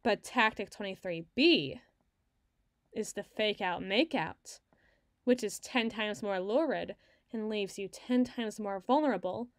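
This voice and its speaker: A woman acting a sardonic, fast-thinking, bossy secret agent in an ASMR roleplay audio drama, wordy and wry. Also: medium pitch, no echo, studio quality, close to an everyday voice. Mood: wry, explanatory. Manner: wry, wordy, teasing, flustered when challenged.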